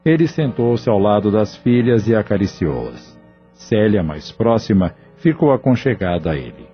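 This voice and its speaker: Casting: solemn male narrator, low voice, dull recording with faint music. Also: sing-song, normal speed. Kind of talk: scripted audio drama narration.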